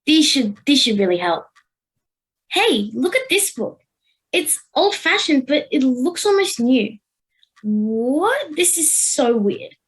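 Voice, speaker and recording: A woman's voice: high voice, sing-song, takes it slowly, heavily acted, low-quality recording. An Australian girl of about ten playing a curious, anxious modern schoolgirl in an amateur radio play, recorded at home. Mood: cautious, curious. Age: 10 to 11, child. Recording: home video-call recording with music.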